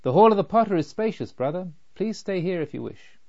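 A man's voice: high-pitched, animated, a little fast, vocal fry, darkish tone, pitched above the performer's own voice. A man voicing a noble, hospitable young spiritual seeker in a storybook reading, courteous and open. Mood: welcoming. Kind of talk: storybook narration. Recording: slightly dull, lo-fi.

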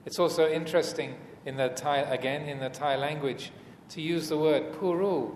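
A man giving an unscripted Buddhist dharma talk as a teacher, speaking in a measured, reflective way with frequent pauses between short phrases. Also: on the high side, darkish tone. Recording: echoey reverberant hall with background noise.

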